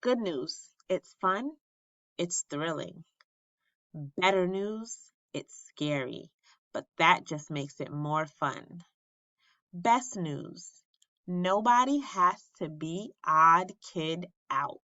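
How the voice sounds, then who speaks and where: A woman reads a children's story in a bright, forceful voice. She takes her time, teaching as she goes with animated expression. The recording is a little dull and lacks crispness. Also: on the low side, extremely slow. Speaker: teacher reading a picture book aloud. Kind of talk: expressive children's read-aloud. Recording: roomy, slightly muffled sound.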